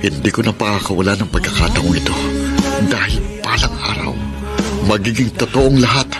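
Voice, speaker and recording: Actor playing a low-voiced lovestruck young Filipino suitor. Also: male voice, expressive, moderate pace, natural voice. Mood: elated, hopeful. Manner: sentimental, florid, pleading. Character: Francis, lovestruck hopeful earnest young suitor, also narrating. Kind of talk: radio drama acting with letter narration. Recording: radio drama with music underneath.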